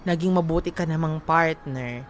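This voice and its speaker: A woman's voice: low-pitched, moderate pace, slight background noise. A woman with a Filipino accent co-hosting a radio show, reading letters and giving advice in an emphatic way. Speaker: radio co-host. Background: background music.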